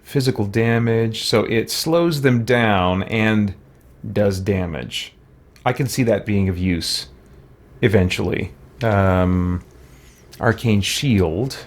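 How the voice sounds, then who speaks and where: A man's voice: fairly low pitch, a little hiss or hum. A man giving casual let's play commentary, muttering to himself as he reads game text aloud and mulls it over.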